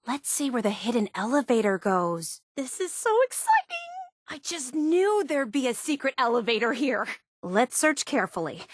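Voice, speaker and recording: An eager young woman, a devoted superfan in a video game. She is high-pitched and excitable, gushing with earnest enthusiasm. The sound is close and dry. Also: no room sound. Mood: excited, then coaxing, intent. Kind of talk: video game character dialogue.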